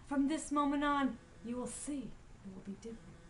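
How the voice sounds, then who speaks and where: A poor, once-gloomy father in a folk story now brims with joy, acted out by a woman. The voice is high and earnest, with loud, stretched exclamations. Faint noise sits behind it. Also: moderate pace. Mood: earnest pleading.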